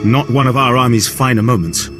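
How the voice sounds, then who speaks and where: Field officer, male, acted, medium pitch: blunt, military, weary of war; game cutscene under clear music. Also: fairly quick, close to an everyday voice. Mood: frank, businesslike. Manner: brusque, military. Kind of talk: video game cutscene acting. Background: game score.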